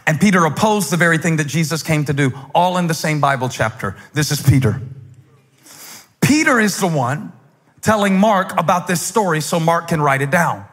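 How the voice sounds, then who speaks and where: A husky, fairly high-pitched man preaching from a big church stage, his handheld mic carrying a long ringing reverb. He moves from quiet storytelling to loud, fervent emphasis. He is a pastor in his forties. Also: expressive, normal speed. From United States. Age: 40s. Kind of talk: sermon. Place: large stage in a church hall.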